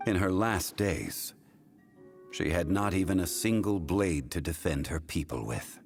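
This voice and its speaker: Grave ancient gentleman, deep voice, slow, formal, faint music underneath. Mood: stern. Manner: calm, formal, measured. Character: ancient, composed male consultant of contracts. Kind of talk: video game voice acting.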